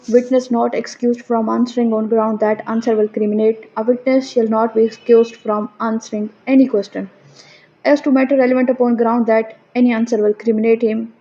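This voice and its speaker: A woman's voice: on the high side, mellow. A woman with a Pakistani accent giving a law lecture, reading legal text aloud and explaining it didactically, on a lo-fi recording with some noise. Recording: dull lo-fi sound with faint noise.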